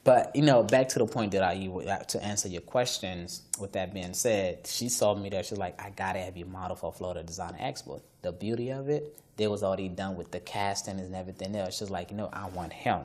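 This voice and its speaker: A young man from New York of Haitian roots, chatting as a guest in an interview and telling lively stories with acted-out quotes. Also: sits low, a little fast, hardly any pauses. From Haitian, raised in New York. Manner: animated storyteller, laughs often, acts out quotes. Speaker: guest model and stylist. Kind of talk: casual interview chat.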